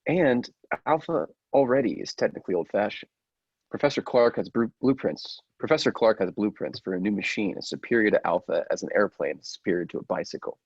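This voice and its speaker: A man's voice: lowish voice, fairly quick, slightly rough, no echo. A man playing an inventor's earnest, persuasive assistant in an old-style radio play, with a theatrical, urging manner. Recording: dull lo-fi, dry sound.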